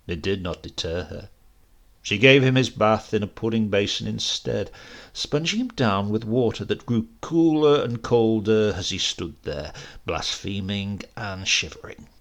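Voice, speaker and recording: A man with a British accent reading a comic literary story as narrator, in a measured, dramatic way. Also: lowish voice, creaky. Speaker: audiobook reader.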